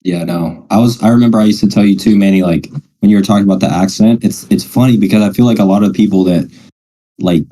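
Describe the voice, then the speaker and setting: A man speaks in a low, very dark and relaxed voice. His delivery is casual and conversational, reassuring the listener and often ending on a short tag question. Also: rough recording. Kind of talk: casual chat.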